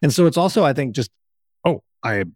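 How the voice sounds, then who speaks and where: A man's voice: medium pitch, animated, fairly quick, no echo, studio quality. A man co-hosting a podcast, talking informally in a coaching style, posing questions and answering them himself. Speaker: podcast co-host. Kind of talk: casual conversational coaching talk.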